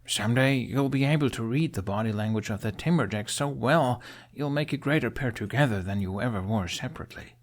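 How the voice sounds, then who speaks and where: A man's voice: mid-range voice, brisk, few pauses, lightly acted. A boyish young dragon rider as a male audiobook reader plays him speaks with easy confidence. He is earnest and reassuring, like someone sure of his plan in a storybook adventure. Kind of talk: audiobook character dialogue.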